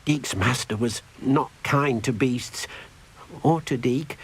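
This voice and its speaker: Husky, earnest little house-elf. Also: male voice, medium pitch, slow. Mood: somber, pained. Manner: speaks of himself in the third person, earnest and careful. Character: small, gentle male house-elf, timid, earnest, devoted to beasts. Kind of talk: video game character dialogue.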